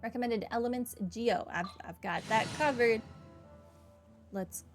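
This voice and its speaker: A woman's voice: on the high side, unhurried, music in the background. A woman hosting a video game playthrough, thinking aloud in casual, conversational commentary. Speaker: gaming video host.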